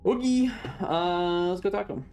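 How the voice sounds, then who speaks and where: A woman's voice: fairly low pitch, moderate pace, darkish tone. A woman streamer giving casual, reactive commentary on a video game, playful and slangy with some swearing.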